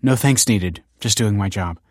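A man's voice: fairly low pitch, normal speed, dry recording, studio quality, natural voice. A man voicing a woman clinic director who stays polite, clipped and controlled under tension. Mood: guarded.

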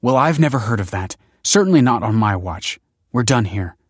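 A man's voice: medium pitch, animated, normal speed, dry recording, studio quality, pitched above the performer's own voice, lightly acted. A man voicing a firm, tense married woman in an audiobook, insistent and emphatic. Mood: snapping, alarmed. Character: firm, tense wife, a fertility director.

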